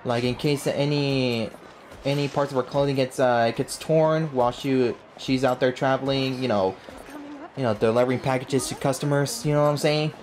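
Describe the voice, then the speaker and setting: A man's voice: medium pitch, steady tone, normal speed, a little mellow, a little hiss or hum. A young man doing casual, slangy, playful let's play commentary as a video game streamer. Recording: game music behind the voice.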